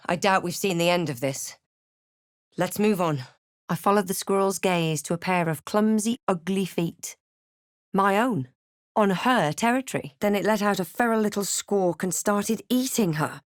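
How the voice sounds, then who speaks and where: Woman acting a wry, low-pitched adventurer heroine. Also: measured pace, dry recording, clean studio sound, lightly acted. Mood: calm, reflective. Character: female adventurer hero, wry, observant, quietly guarded. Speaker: video game player character. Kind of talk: scripted game voice lines and past-tense narration.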